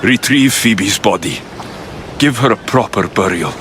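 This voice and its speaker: An actor playing a grieving, angry young ancient Greek mercenary in a video game cutscene, blunt and commanding. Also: male voice, lowish voice, expressive, unhurried, noisy background. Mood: low, somber. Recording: music behind the voice.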